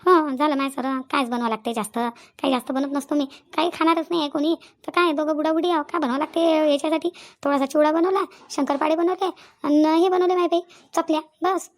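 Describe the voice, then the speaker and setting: A woman's voice: high-pitched, steady tone, brisk. A woman voicing a chatty old village neighbour in a comedy cartoon, rambling in a rural Vidarbha Marathi accent. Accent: rural Maharashtrian, Vidarbha.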